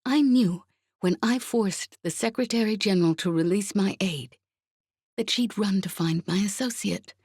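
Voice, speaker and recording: A woman voicing a calm, calculating veteran female spy in an audiobook, speaking softly and breathily with quiet assurance. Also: medium pitch, normal speed, breathy edge, no room sound, clean studio sound, pitched above the performer's own voice, close to an everyday voice. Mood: coolly taunting. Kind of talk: audiobook character acting.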